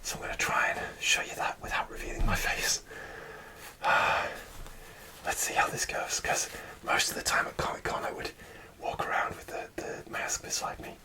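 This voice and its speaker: Whispering man, soft ASMR. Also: moderate pace. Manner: hesitant, rambling with many fillers and restarts; soft, relaxed whisper throughout. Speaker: ASMR creator.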